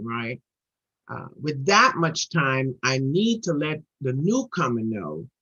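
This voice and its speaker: A middle-aged woman shares her recovery story in a husky, earnest voice. She circles back on her words as she builds up speed. It comes through dull, lo-fi video call audio. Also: deep voice, fairly bright tone. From Trinidad and Tobago. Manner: earnest, repeats words while building momentum. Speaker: guest speaker at a recovery meeting.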